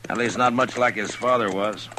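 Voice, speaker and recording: An actor playing a steady, weary American frontier marshal, terse and understated, in an old radio western. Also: male voice, medium pitch, moderate pace, natural voice. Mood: weary, matter-of-fact. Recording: noisy 1950s radio recording.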